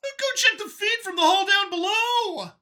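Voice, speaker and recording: A man's voice: very high voice, a little slow, pushed and bright, soft background music, voice pushed up, exaggerated voice. A man voicing an aggressive tusked ape-like alien guard leader, barking and growling orders. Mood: angry, alarmed.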